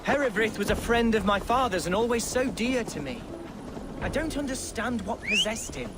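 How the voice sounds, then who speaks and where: Earnest high-voiced young Saxon nobleman. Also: expressive, moderate pace. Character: earnest, unsure young Anglo-Saxon nobleman, newly made leader. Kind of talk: video game cutscene dialogue. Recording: clear outdoor ambient noise behind.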